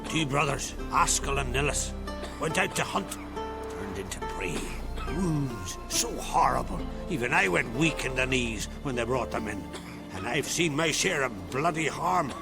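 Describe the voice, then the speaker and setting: A man playing a hardened, blunt village raider in a video game, creaky and brusque. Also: mid-range voice, unhurried, loud music behind the voice. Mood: grim, grudging. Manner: animated, brusque. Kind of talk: video game character acting.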